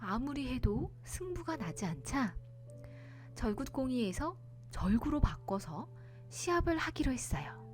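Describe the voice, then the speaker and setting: Lady reading kids bedtime folktale, highish voice, super expressive, polite, soft music under. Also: unhurried. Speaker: storyteller. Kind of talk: children's bedtime folktale narration.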